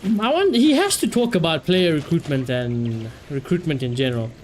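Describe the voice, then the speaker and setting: A man's voice: on the high side, lively intonation, normal speed, a little mellow, slight background noise. A man live streaming a game, chatting casually and giving opinionated asides, often repeating his phrases.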